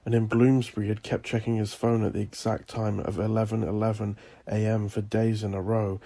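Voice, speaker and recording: A man reading dark fantasy horror as a scripted story, with a measured, deliberate delivery. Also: fairly low pitch, level tone, creaky. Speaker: audiobook narrator.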